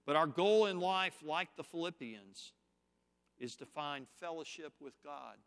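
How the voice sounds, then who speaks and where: A man preaching a sermon, teaching scripture point by point in a slow, deliberate, emphatic way. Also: on the high side, a bit bright, clear, resonant tone, in a large hall.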